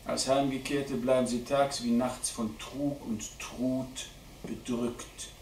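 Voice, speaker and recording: A man giving a live dramatic poetry reading at a literary event, declaiming deliberately with strong shifts between hushed and loud delivery. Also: mid-range voice, little pitch movement. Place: indoor literary event venue at a theatre. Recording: roomy live hall recording, distant mic, faint noise.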